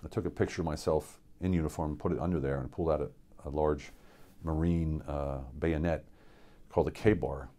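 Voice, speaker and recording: A middle-aged man from Pennsylvania, a military veteran, gives an unscripted personal testimony in a studio, earnest and reflective, with many halting fillers and restarts. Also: very deep voice, steady tone, warm tone. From central Pennsylvania, USA. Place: indoor studio with dark backdrop.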